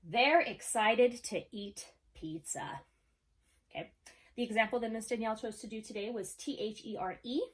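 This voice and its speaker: A woman teaching a third-grade class in a recorded lesson, casual and encouraging, checking in with her pupils as if they could answer. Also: medium pitch. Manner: casual, checks in often with okay. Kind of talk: recorded video lesson for children.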